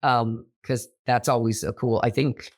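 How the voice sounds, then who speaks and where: A man's voice: mid-range voice, steady tone, a little slow. Male co-host, theater director type, rambling casual chat with lots of ums and restarts, getting over a cold. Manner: rambling, many fillers and restarts. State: recovering from illness.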